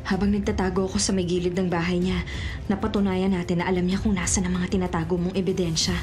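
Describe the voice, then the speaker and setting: A woman playing a cunning, determined forger in a Filipino radio drama, brisk and resolute, with a Filipino accent. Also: fairly low pitch, level tone, natural voice. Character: cunning, determined female forger seeking justice. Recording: radio drama with loud music underneath. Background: dramatic music.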